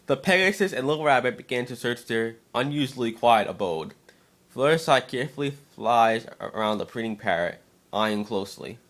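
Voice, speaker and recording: A man narrating a scripted fan-fiction story as a dramatic reading, expressive but now and then stumbling over words. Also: medium pitch, normal speed.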